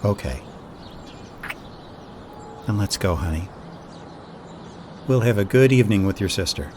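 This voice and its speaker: A man acting as a gentle, reassuring young boyfriend in an intimate audio roleplay, speaking in a soothing, affectionate way. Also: lowish voice, animated, measured pace, noisy background.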